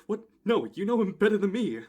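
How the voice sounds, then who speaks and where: Anxious male passenger, played by a man for audio drama: slightly high, very animated pitch, halting, flustered pleading. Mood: panicked, pleading. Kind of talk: amateur audio play acting.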